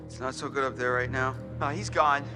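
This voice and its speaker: An actor playing a jittery, easily startled young man in a video game, halting and prone to yelling. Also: mid-range voice, steady tone, over music, natural voice. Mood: shaken.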